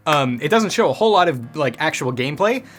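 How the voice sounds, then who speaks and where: A man's voice: on the high side, animated, fast, fairly bright tone, soft background music. A man hosting a gaming news show in a casual, conversational way, dropping wry asides as he goes.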